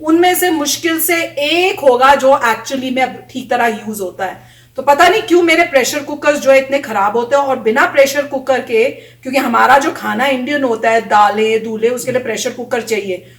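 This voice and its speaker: A chatty woman with a high voice tells anecdotes in a casual vlog and mimics what others said. She sits a little back from the camera mic, and the room around her rings with echo. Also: normal speed, recorded from a distance. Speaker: vlogger reacting to a video. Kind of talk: casual storytelling chat.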